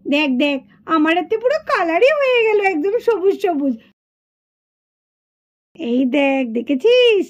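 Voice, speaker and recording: A woman voicing an excited, chatty little schoolgirl doll in a children's puppet show. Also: very high-pitched, measured pace, pauses often, lo-fi sound, full character voice. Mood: excited, instructive.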